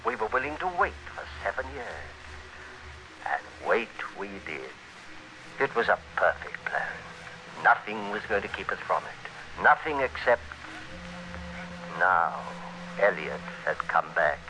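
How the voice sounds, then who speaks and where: A man's voice: lowish voice, very animated, a little slow, pauses often. An actor playing a cold, domineering male criminal who schemes and gives orders in a 1940s radio thriller. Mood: grim, calculating. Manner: hard, domineering, clipped and menacing. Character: cold, scheming male criminal narrating his murderous plot. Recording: noisy vintage 1940s radio recording.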